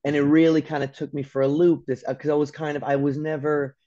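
Young LA man, guest on a video-call podcast; lively storyteller who voices quotes and swears, mellow tone, dull audio. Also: on the high side, fast talker. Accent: American. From Los Angeles, USA. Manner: animated storyteller, acts out quotes, swears casually. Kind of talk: casual interview chat. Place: room at home by a window.

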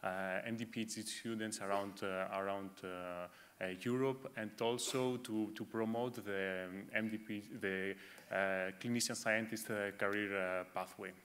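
A young man, a doctoral student, introducing himself as a board candidate in a conference hall, steady but with fillers. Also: lowish voice, measured pace, a little hiss or hum. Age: young adult.

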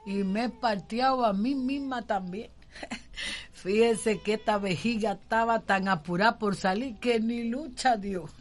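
An actress playing an earthy, exuberant older enslaved Cuban midwife in a radio drama, folksy, tender and teasing, drawing out her words. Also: a little high. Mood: teasing, proud. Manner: folksy, drawn-out, cackling.

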